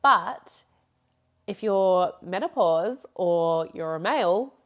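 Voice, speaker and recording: A woman's voice: on the low side, lively intonation, takes it slowly. A young woman hosting a podcast, talking casually and rambling with frequent laughs, her voice nasal from a blocked nose. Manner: chatty, rambling, laughs often. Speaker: podcast host. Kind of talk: casual solo podcast talk.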